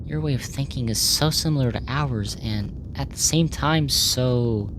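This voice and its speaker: A woman acting in a sci-fi ASMR roleplay as a polite, clinical alien researcher, speaking in a measured, formal, earnest way. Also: extremely low pitch, noisy background. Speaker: audio roleplay voice actor.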